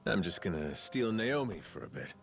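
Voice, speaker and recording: An actor playing a gruff, blunt, wry middle-aged pirate captain with a gravelly voice in a video game cutscene. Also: male voice, on the low side, expressive, moderate pace, faint music behind. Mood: wry, easygoing. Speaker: lead character, captain.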